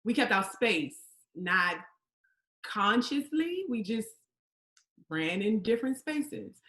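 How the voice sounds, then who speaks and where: A woman acting a guarded, firm character in a live staged play reading, with deliberate, emphatic phrasing over a video call. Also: medium pitch, big pitch swings, bright, forceful tone, roomy sound, heavily acted. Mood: somber, reflective. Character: guarded, firm woman confronting an acquaintance over racial politics. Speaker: actor in a virtual staged reading. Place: indoor room, streamed over video webinar.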